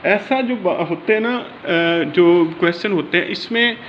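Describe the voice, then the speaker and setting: A man with a Pakistani accent giving an informal exam-prep law lecture in an explanatory way, slowing haltingly over formal English wording. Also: sits high. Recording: dull lo-fi sound, faint background noise.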